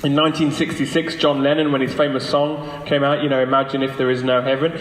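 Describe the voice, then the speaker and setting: A man's voice: mid-range voice, level tone, quick pace. A man speaking persuasively as a guest lecturer to a live audience, using rhetorical questions and emphatic phrasing. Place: large echoey room, lunchtime public talk. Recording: echoey hall with long reverb.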